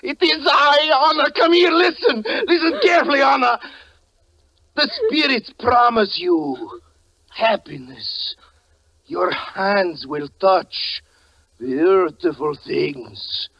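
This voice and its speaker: An actor playing a tormented, fervent stage magician and husband in 1940s radio suspense acting, melodramatic, swinging between hushed narration and anguished cries. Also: male voice, very high-pitched, expressive, slow, creaky, heavily acted. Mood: desperate pleading. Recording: muffled vintage 1940s radio recording.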